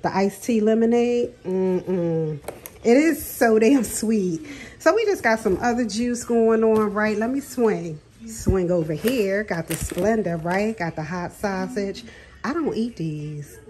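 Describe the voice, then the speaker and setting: A middle-aged woman talks warmly and casually, like she is chatting with a friend, and laughs often. Her voice sits in a middle range. She is in a home kitchen, recording on a phone camera, with a faint hiss behind her. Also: medium pitch, animated, slow. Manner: chatty, talks to viewers as "girl", frequent laughs. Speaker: vlogger. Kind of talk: casual chatty grocery haul vlog. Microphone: phone camera filming hands over a counter.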